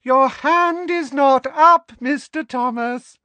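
A man's voice: very high voice, slow, bright, forceful tone, dry recording, lo-fi sound, voice pushed up, full character voice. A man voicing a smug, sickly-sweet middle-aged female teacher from a storybook, with a honeyed trill and a precise, dismissive manner. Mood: sharply scolding. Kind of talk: audiobook character voice.

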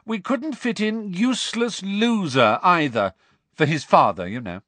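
A man's voice: fairly low pitch, moderate pace, no echo, close to an everyday voice. A man voicing a spiteful, smug teenage schoolboy bully in an audiobook, with a taunting drawl. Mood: mocking. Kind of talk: audiobook character voice.

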